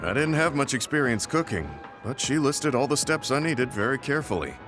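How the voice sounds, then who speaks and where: A man playing a middle-aged, guilt-ridden veteran soldier in a video game, speaking in a measured, earnest way. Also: mid-range voice, expressive, natural voice. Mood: gentle, reflective. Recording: studio voice under loud game music.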